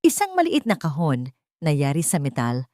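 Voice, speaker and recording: A woman's voice: low-pitched, wildly swooping pitch, normal speed, warm tone, no echo, clean studio sound. A woman reading a dramatic scripted story as an audiobook narrator.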